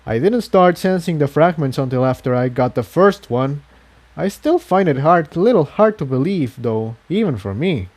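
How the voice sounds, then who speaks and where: Man as solemn male unicorn, mellow voice. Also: a little high, animated, normal speed, pitched above the performer's own voice. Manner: earnest, solemn, explanatory. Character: solemn, guilt-burdened male unicorn pony explorer.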